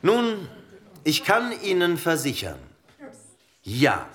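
An actor playing a smug, slick new logistics boss who gives a pompous, jargon-heavy speech in a satirical radio play. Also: male voice, fairly high pitch, wildly swooping pitch, slow, a bit bright, exaggerated voice.

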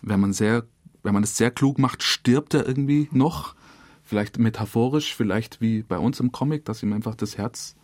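A man's voice: mid-range voice, normal speed, a little mellow, dry recording, clean studio sound. A man speaking as a director in an interview, explaining colloquially and piling clause upon clause. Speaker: radio drama director, interview guest. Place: dry radio studio.

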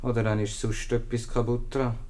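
A man's voice: mid-range voice, little pitch movement, normal speed, a little mellow, close to an everyday voice. An actor with a Swiss German accent playing a bored, grumbling tower handyman in a 1950s radio comedy.

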